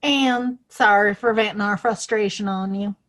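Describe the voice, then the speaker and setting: A woman's voice: medium pitch, fairly even intonation, moderate pace, a bit bright, natural voice. A woman playing a feisty, outspoken small-town woman in a western audio play, with a folksy, plain-spoken manner. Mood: frank.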